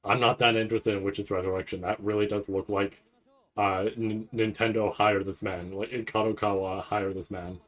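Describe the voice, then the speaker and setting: Low-voiced guy rambling on a livestream. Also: normal speed. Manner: rambling, stammering, repeats words; excitable, nervous anticipation. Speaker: streamer reacting to a live anime event. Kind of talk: casual livestream reaction commentary.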